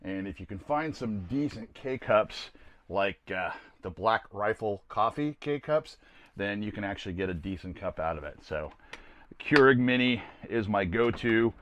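Low-voiced older American man, casual reviewer. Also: expressive, unhurried, a little hiss or hum. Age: older, around 60s. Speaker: host of a camping gear video series. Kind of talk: casual product review to camera. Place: small camper trailer interior.

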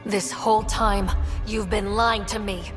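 An actress playing a guarded, dry-humored young space explorer in a game, speaking directly. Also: female voice, mid-range voice, steady tone, a little slow, soft background music, natural voice. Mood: accusing. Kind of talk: cinematic game dialogue acting.

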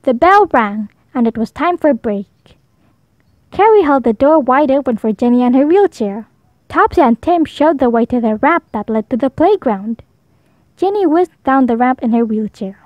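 High-pitched woman reading a storybook. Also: expressive, normal speed, dry recording, highs cut off. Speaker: storybook reader. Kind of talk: children's read-aloud narration.

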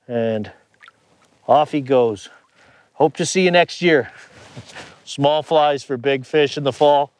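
A man's voice: medium pitch, slow, pauses often. A middle-aged man teaching fly fishing outdoors from a small boat on a lake, talking through each step as he plays the fish, on a clip-on mic. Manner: relaxed, explains as he plays the fish. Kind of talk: instructional commentary while fishing.

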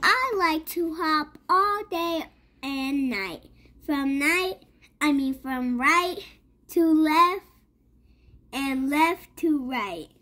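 A child reading a rhyming picture book aloud in a halting way, sounding out and repeating words. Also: high voice, sharp tone. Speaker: young reader.

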